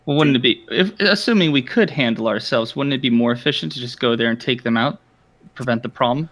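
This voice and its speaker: Blunt fighter for hire, a male tabletop player, mid-pitched, assured and matter-of-fact. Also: brisk, a bit bright, no echo, lightly acted. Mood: blunt, practical. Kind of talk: improvised in-character roleplay.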